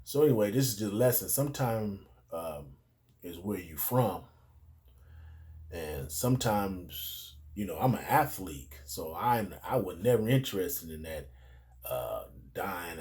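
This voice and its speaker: A middle-aged American man speaks in a fairly low voice. He tells personal stories in a casual, unscripted way. He rambles and circles back through digressions, with plenty of street slang. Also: lively intonation, a little slow, a little mellow. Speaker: storyteller recalling his youth.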